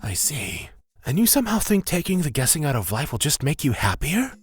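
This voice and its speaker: A man's voice: a little high, very animated, brisk, breathy edge, full character voice. A man playing a humble, laid-back elf wanderer in a fantasy audio drama, reflective and probing. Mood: frank, challenging.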